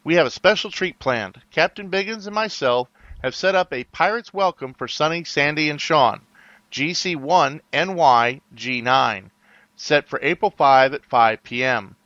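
A man reads a prerecorded event promo in a bright, cheerful announcer voice. His pitch is a bit high, and the recording is dry and slightly dull. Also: unhurried, no room sound. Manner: bright, upbeat announcer delivery.

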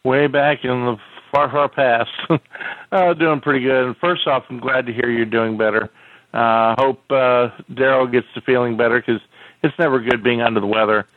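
A man's voice: medium pitch, steady tone, no room sound. Easygoing man over a tinny phone line. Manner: easygoing, many "uh" pauses. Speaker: podcast co-host. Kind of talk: casual podcast chat.

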